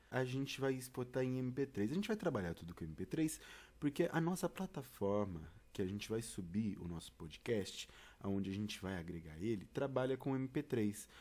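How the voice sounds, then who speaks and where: A man's voice: mid-range voice, darkish tone. A man with a Brazilian accent giving an informal step-by-step tutorial, talking directly to listeners and often checking in with them. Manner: conversational, addresses listeners directly with frequent check-ins. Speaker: instructor presenting a tutorial.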